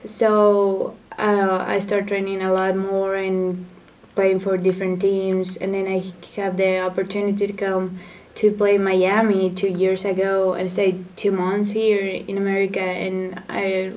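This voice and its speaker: A young woman from Chile whose first language is Spanish, talking as a soccer player guest in halting, word-searching English. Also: medium pitch, completely flat delivery, a little mellow, distant mic, rough recording. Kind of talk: casual interview chat. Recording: voice from off the mic.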